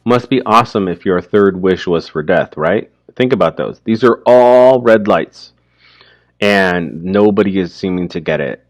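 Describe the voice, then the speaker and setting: Low-voiced man giving informal commentary. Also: no room sound. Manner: colloquial, explanatory, emphatic check-questions. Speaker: commentator explaining a short story.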